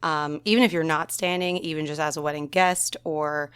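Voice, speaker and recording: A chatty young woman hosts her solo podcast, her voice a little raspy and worn from singing. Her tone is low for a woman yet smooth and clear. The sound is close and dry, with no room around it. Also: steady tone, normal speed, a bit bright, dry recording. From Newfoundland, Canada. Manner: chatty, rambling, frequent ums and likes. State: slightly hoarse from singing. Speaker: podcast host. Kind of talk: casual solo podcast chat.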